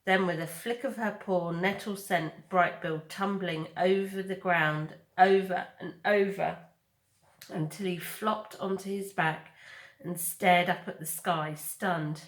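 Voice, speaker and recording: Slow-paced woman storyteller in echoey room. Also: lowish voice, steady tone. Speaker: storybook reader. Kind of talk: dramatic read-aloud narration of children's fiction.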